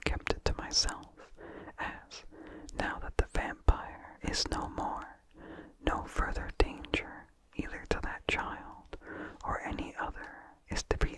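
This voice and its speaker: ASMR reader: unvoiced breathy whisper, very slow and deliberate, hushed close-mic delivery. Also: rough recording. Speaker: ASMR host reading a vintage horror story. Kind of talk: whispered ASMR story reading. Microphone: close microphone with incidental mouth sounds.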